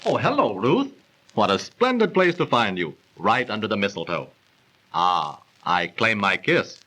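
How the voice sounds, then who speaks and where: A man's voice: fairly low pitch, sing-song, unhurried, pushed and bright, exaggerated voice, low-quality recording. An actor playing a genial, learned young man who explains legends in polished, theatrical 1930s radio style. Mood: delighted. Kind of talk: 1930s radio acting and storytelling. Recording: old 1930s radio transcription recording.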